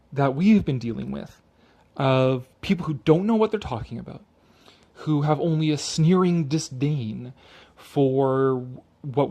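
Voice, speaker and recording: A man's voice: mid-range voice, dark tone. A man giving a sarcastic, exasperated off-the-cuff commentary, emphatic and freely swearing, a little tipsy. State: tipsy from drinking. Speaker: video commentator.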